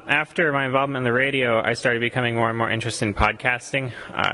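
Bright-voiced young man from Costa Rica. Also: mid-range voice, rough recording. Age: young adult. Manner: thoughtful, many ums and restarts. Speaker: exchange student guest. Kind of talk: radio interview answers.

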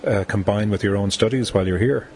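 Quick-talking radio host guy, lowish voice, bit of hiss. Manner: brisk, steady questioning. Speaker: radio presenter. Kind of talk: radio interview.

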